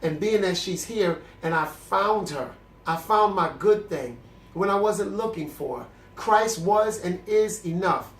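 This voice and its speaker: A young man with a fairly high voice speaks about his faith, congested and coughing a little. He talks to the camera in an earnest, preacherly way, often restarting his sentences. The room gives his voice a noticeable echo. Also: unhurried, fairly bright tone. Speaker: host of a celibacy video series. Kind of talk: candid faith talk to camera. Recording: echoey room.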